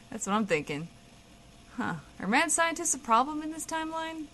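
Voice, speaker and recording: An actress playing a blunt, teasing, bossy guest co-host who swears freely in a comedic audio drama. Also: female voice, sits high, sing-song, moderate pace, bright, forceful tone, a little hiss or hum, heavily acted, low-quality recording.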